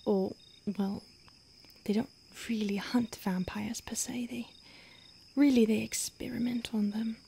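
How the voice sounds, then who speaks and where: A woman playing an ancient, secretive vampire in a whispered roleplay ASMR, hushed and halting with deliberate pauses. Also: on the high side, steady tone, gravelly. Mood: grave, confiding. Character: ancient female vampire, secretive, urgent, weary, protective. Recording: faint hiss, outdoor night insects.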